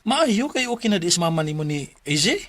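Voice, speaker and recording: An actor playing a chummy, admiring male friend in a radio drama, with a Cebuano accent and a husky voice. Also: sits high, very animated, brisk, loud music behind the voice. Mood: friendly, admiring.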